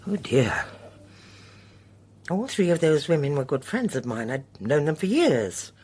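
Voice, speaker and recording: An actress playing a sharp, inquisitive old lady in a radio drama, with a husky voice and genteel, probing manner. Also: low-pitched, very animated, measured pace, heavily acted. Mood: thoughtful. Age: older.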